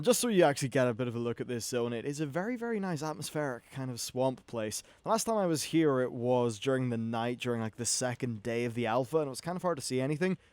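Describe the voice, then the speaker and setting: A man's voice: mid-range voice, dry recording. A man giving casual, off-the-cuff video game commentary as host, chatty and wry, with some swearing.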